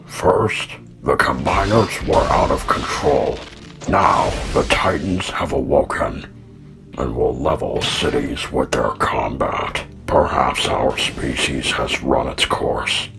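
A man playing a solemn, weary old heroic robot leader in an animated fan dub, speaking with grave, portentous deliberation. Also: very deep voice, creaky, heavily acted. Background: musical score.

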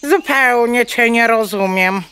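A woman's voice: fairly high pitch, takes it slowly, a bit bright, clear, resonant tone. A woman playing a curious, plucky, naive hen in a children's audio play, exclaiming and stammering into clucks. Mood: puzzled.

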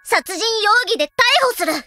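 A woman's voice: very high voice, moderate pace, sharp tone, no echo, soft background music. A woman playing a self-serious little girl acting as a hard-boiled detective, delivering dramatic deductions in an anime audio drama. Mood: solemn accusation.

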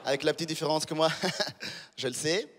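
A man's voice: on the high side, fairly even intonation, fairly quick, big-room sound, noisy background. Man doing stand-up on a theatre stage. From Rwanda. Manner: punchy, conversational, teasing the audience. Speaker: stand-up comedian. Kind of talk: stand-up comedy routine. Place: large theatre stage before a live audience. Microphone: handheld microphone.

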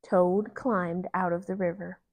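A woman in her thirties reading a children's story aloud with expressive, deliberate narration. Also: lowish voice, a little hiss or hum. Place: living room, indoors on a couch.

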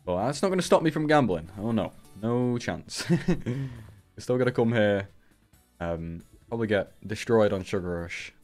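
Young man with a raspy cold, streaming slots. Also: on the low side, lively intonation, normal speed, faint music behind. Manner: shouts and rises sharply at big wins. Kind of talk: live gambling commentary. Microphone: headset.

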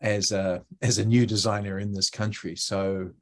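Low-voiced New Zealand man speaking informally. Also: little pitch movement, normal speed. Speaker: guest speaker, designer. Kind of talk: informal online talk. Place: indoor room on a video call. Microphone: video call.

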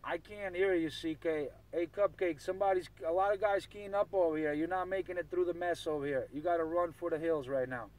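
A man's voice: on the high side, steady tone, a little fast, hardly any pauses. A man making casual CB radio chatter in a slangy, repetitive way. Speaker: CB radio operator. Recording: noisy CB radio, heard from afar.

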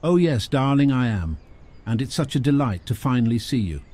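A man's voice: medium pitch, expressive, brisk, a little mellow, pitched above the performer's own voice, lightly acted. Man doing a gushing posh old lady. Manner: theatrical, gushing, says darling constantly.

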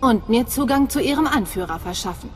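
An actress playing a cool, businesslike imperial agent with clipped delivery in a video game. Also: female voice, a little high, expressive, brisk, darkish tone, lightly acted. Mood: cool, focused. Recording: long reverb, faint music behind.

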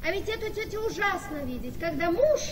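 An actress playing a happy, naive, adoring young wife in a period radio comedy, gushing and exclamatory. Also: female voice, extremely high pitch, expressive, brisk. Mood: confiding. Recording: reverberant hall with crowd sound.

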